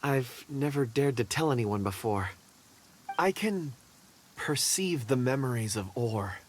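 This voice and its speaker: A man's voice: mid-range voice, lively intonation, measured pace, slight background noise, close to an everyday voice. A man voicing a shy, polite young man in a video game, hesitant and prone to stammering. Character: polite, shy young man who reads memories in ore.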